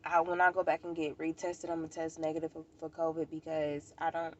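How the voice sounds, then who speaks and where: A woman's voice: low voice, completely flat delivery, fairly quick. An American woman vlogger with a stuffy nose, chatting casually and informally to the camera, rambling and repeating herself. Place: outdoors at a park.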